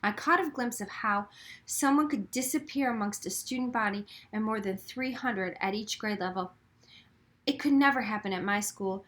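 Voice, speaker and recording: A woman reading a children's novel aloud as expressive, scripted first-person narration. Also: a little high. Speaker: audiobook reader.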